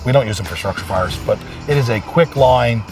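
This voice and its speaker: A man's voice: mid-range voice, brisk, recorded from a distance, over music. An American man, a fire chief, explaining equipment in a practical, plain-spoken way during a walk-through. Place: outdoors around a fire truck.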